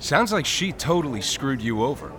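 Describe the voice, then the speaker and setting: An actor plays a charming male stranger in a naturalistic audio drama, his mid-pitched voice moving with lively intonation. He flatters and coaxes with an easy, manipulative smoothness over background music. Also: normal speed, lightly acted. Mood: easygoing. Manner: flirtatious, coaxing.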